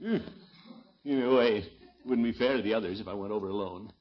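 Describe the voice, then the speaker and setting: A man's voice: lowish voice, fairly quick, close to an everyday voice. A man playing a pompous, grumbling middle-aged uncle in an American accent, with blustery, muttering delivery in 1950s radio comedy style. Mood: reluctant. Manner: blustery, muttering, hemming and hawing. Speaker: comic lead actor in radio sitcom. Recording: muffled vintage 1950s radio recording.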